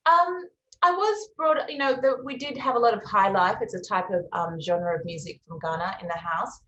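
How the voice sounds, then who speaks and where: A woman's voice: medium pitch, normal speed, reverberant, dull audio, slight background noise. A young Australian woman, a musician, answering interview questions in a reflective, earnest way. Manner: reflective and earnest, with soft fillers. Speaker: musician guest.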